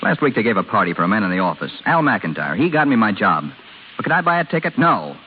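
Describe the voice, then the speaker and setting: Fast, bitter young radio-drama husband. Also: male voice, lowish voice, sing-song. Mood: bitter, dejected. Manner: agitated, self-pitying, slangy. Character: frustrated, bitter young working-class husband. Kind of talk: 1930s-40s radio drama acting. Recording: tinny, hissy old radio recording.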